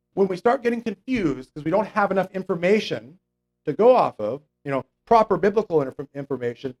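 A man preaching a conversational Bible teaching sermon, explaining scripture and often checking in with "okay?" and fillers. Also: high-pitched. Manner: mocks imagined religious people in a raised voice.

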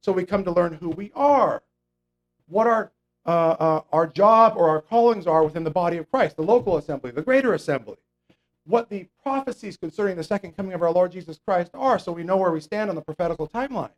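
A man's voice: high voice, lively intonation, fairly quick, low-quality recording. A man preaching a conversational Bible teaching sermon, explaining scripture and often checking in with "okay?" and fillers. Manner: mocks imagined religious people in a raised voice.

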